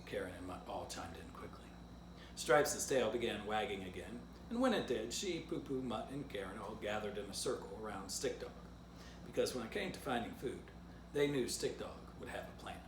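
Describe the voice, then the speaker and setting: A man presenting to children in a simple, informal way, as an author reading and teaching. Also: medium pitch. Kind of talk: children's story reading and casual drawing lesson. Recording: roomy echo, clear background noise.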